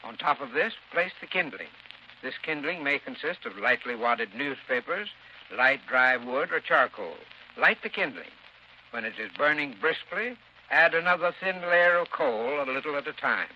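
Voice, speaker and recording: Very bright, formal 1930s radio advice man. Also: mid-range voice, lively intonation, normal speed. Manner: formal, instructional, clearly enunciated. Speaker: heating expert in a sponsor spot. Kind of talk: scripted 1930s radio advertising talk. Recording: muffled 1930s radio broadcast, faint noise.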